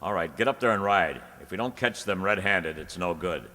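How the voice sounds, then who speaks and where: A man playing a confident, urgent young newspaper reporter in old-time radio style, brisk and insistent. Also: low-pitched, animated, pushed and bright, big-room sound. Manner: brisk, insistent, clipped commands.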